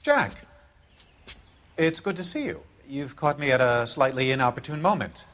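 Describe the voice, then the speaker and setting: An actor playing a smooth, evasive company executive with a polite, genteel manner in a 1940s detective game. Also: male voice, medium pitch, lively intonation, normal speed, noisy background, close to an everyday voice. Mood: smooth protest.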